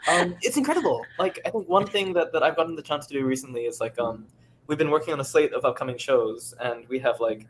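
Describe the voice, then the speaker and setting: A man's voice: fairly high pitch, animated, fast, poor audio. A man speaking earnestly and upbeat as a producer on a casual panel discussion. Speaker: audio drama producer and panelist. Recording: faint background noise.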